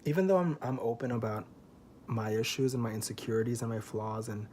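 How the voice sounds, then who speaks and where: A man in his twenties speaks to camera in a loose, confessional ramble, open and a little self-conscious, with plenty of swearing. His voice sits at a middle pitch and he sounds a little tipsy. A faint hiss of noise runs under the recording. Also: a little fast, darkish tone. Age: 20s. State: feels tipsy. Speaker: vlogger. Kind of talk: candid confessional vlog.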